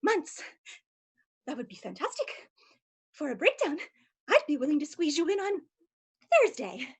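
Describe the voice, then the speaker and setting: A bubbly, theatrical woman therapist who chirps at a very high pitch, as a woman acts her out. Her voice soars and dips constantly, giddy and easily distracted, straight from a comedic stage reading. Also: sing-song, normal speed. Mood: eager. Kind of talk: livestream script reading.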